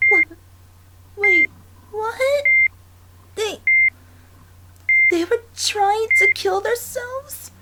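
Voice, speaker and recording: A very high, youthful male cartoon fox played by a performer speaks slowly and haltingly, full of earnest worry. He is a gentle friend in an audio drama. The recording is very noisy. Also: exaggerated voice. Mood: shocked, guilty. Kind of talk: dramatic ASMR roleplay acting.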